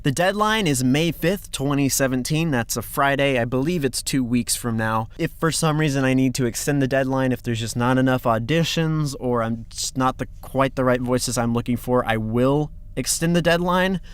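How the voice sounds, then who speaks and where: A man's voice: medium pitch, normal speed, few pauses, smooth. A man with an informal, chatty manner presenting a casting call announcement as the project's director, close on the microphone. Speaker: fan dub director, casting host. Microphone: close XLR microphone. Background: background music.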